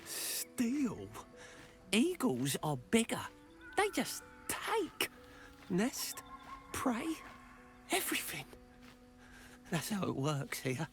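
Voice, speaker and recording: A woman voicing a resentful, sardonic talking animal in a video game, in blunt, halting short phrases. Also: mid-range voice, huge pitch swings, faint music behind, heavily acted. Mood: bitter, grumbling. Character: sly, grumbling talking creature resenting bullying eagles. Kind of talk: video game creature acting.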